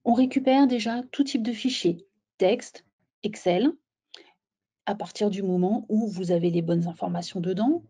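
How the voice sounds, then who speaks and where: A woman presenting a software webinar in an explanatory, somewhat halting way, as if walking listeners through slides. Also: mid-range voice, breathy edge.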